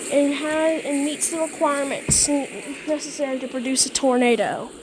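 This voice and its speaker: A woman narrating a mock emergency weather bulletin in a halting way, often repeating and correcting her phrases. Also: sits high. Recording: clearly noisy amateur recording.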